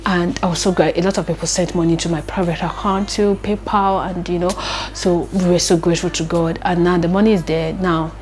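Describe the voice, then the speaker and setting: A woman's voice: on the low side, fairly even intonation, fairly quick, a little mellow. An earnest, emotional Nigerian woman whose first language is Igbo tells a personal story in her vlog, often repeating phrases. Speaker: vlogger.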